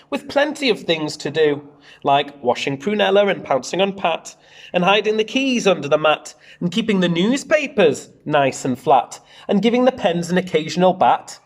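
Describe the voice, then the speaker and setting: A man reads a picture book for young children. His pitch swings widely as he moves through the rhymes in a sing-song teacher's way, breaking off for chatty asides. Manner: animated, sing-song rhyming read-aloud. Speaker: primary school teacher reading a storybook.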